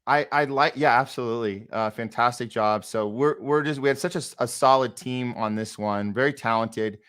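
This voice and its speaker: A man's voice: mid-range voice, normal speed, fairly bright tone, smooth. A man hosting a casual interview as a director and actor, talking emphatically and building on what others say.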